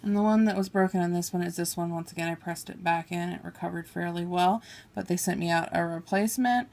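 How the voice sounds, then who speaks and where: Chatty woman with a gravelly voice. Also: lowish voice, steady tone, quick pace, darkish tone. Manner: chatty, apologetic about pronouncing names. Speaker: beauty vlogger. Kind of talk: casual product haul and swatching. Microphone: camera on a table, filming her hands.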